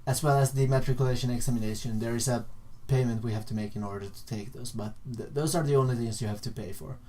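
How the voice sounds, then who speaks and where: Mellow-voiced young Finnish man explaining casually. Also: mid-range voice, fairly even intonation, quick pace. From Finland. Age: young adult. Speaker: vlogger. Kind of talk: casual informal explainer to camera.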